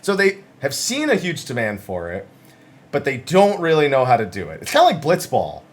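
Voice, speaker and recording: A man's voice: medium pitch, wildly swooping pitch, normal speed. A man giving casual, conversational commentary as the host of a gaming news channel, summarizing interviews with emphasis.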